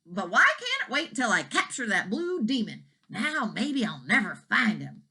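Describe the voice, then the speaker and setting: A woman doing a loud, blustering farmer. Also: on the high side, very animated, normal speed, voice pushed up, exaggerated voice. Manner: loud, gruff bursts. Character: blustering middle-aged male farmer, hot-tempered.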